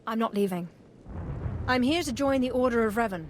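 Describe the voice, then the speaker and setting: A woman's voice: fairly high pitch, fairly quick, a little hiss or hum, lightly acted. A woman playing a young, determined Sith apprentice in a video game, with a formal, self-assured manner. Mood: determined, inquisitive. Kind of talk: scripted video game voice acting.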